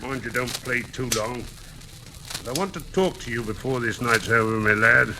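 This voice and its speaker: An actor playing a coarse, menacing blackmailer, surly and mocking, in 1940s radio drama style. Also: male voice, medium pitch, normal speed, close to an everyday voice. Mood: sly menace. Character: coarse, menacing male blackmailer, sneering old pal. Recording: noisy old-time radio recording.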